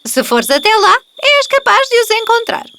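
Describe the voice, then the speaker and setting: A woman voicing a kindly old woman in a cartoon fairy tale, slow and drawn out, with a European Portuguese accent. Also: extremely high pitch, sing-song, pushed and bright, a little hiss or hum, full character voice. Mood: gentle, curious.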